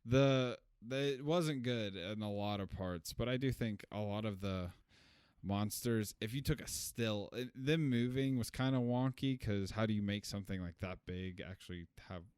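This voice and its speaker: A man's voice: fairly low pitch, moderate pace, a little mellow, clean tone, no room sound, clean studio sound. A man chatting casually as he reviews a movie as a podcast host.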